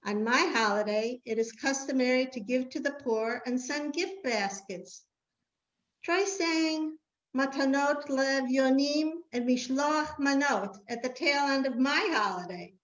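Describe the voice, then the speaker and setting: A woman's voice: high-pitched, measured pace. A woman playing a proud, party-loving biblical queen in a playful scripted comic monologue for amateur reader's theater. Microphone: video call. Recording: dull, roomy video-call audio.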